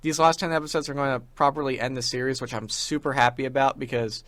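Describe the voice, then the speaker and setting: A young man talks casually as a podcast co-host. He rambles along like an eager fan. His voice has a mid pitch and is recorded dry, with little room sound. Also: steady tone, quick pace, fairly bright tone, no echo. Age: young adult. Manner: enthusiastic fan talk, rambling. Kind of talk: casual chat.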